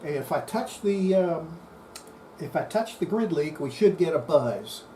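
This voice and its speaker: An electronics restorer giving an unscripted hands-on repair demonstration, thinking aloud in a halting way. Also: medium pitch, expressive, warm tone. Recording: clear background noise.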